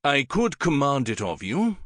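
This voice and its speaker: A man voicing a commanding older king who speaks in a measured, regal way. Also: mid-range voice, huge pitch swings, a bit bright, dry recording, clean studio sound, voice pushed up. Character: commanding older king, warm yet persuasive.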